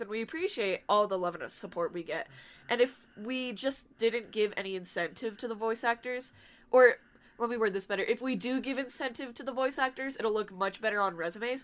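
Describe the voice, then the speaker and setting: A talkative woman speaking casually as a guest on a podcast, quick to laugh and explaining at length. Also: mid-range voice, fairly bright tone. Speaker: podcast guest from a fan dubbing group.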